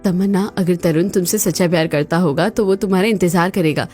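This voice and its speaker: A woman's voice: fairly low pitch, fairly quick, warm tone, faint music behind, lightly acted. A woman voicing a caring, reassuring young sister-in-law in a cartoon story.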